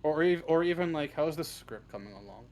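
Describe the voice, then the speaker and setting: A man chatting casually as an interview guest, halting and jokey, often laughing. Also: on the high side, lively intonation, a little mellow. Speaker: interview guest, script writer. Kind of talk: casual chat. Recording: faint background noise on live stream.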